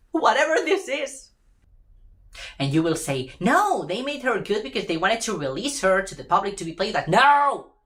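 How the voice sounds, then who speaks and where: A woman's voice: fairly high pitch, fairly bright tone. A woman delivering an impassioned opinion rant in an emphatic, exasperated way, repeating phrases for effect and swearing casually. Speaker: fan commentator.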